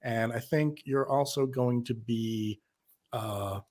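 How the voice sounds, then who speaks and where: Slow-paced man, casual panelist. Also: medium pitch, darkish tone. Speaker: panelist assigning parts. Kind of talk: casual chat.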